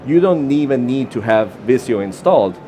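A man's voice: medium pitch, lively intonation, normal speed, dark tone, soft background music. A man with a Costa Rican Spanish accent gives a short, informal conference talk, with fillers and restarts. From Costa Rica. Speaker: conference presenter. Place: conference session room.

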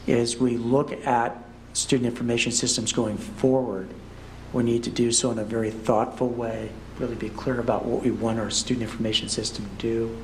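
A man, a school superintendent, giving measured explanatory remarks at a public board meeting. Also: medium pitch, a little hiss or hum, low-quality recording. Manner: measured, explanatory, with frequent fillers.

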